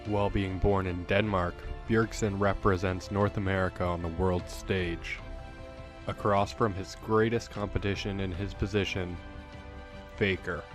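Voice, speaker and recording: Male documentary narrator, low register, scripted read over a clear music bed.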